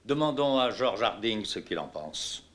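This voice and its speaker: A man's voice: fairly low pitch, sing-song, unhurried, fairly bright tone. A man acting a businesslike, probing official on a teleconference in a dubbed docudrama, formal and questioning.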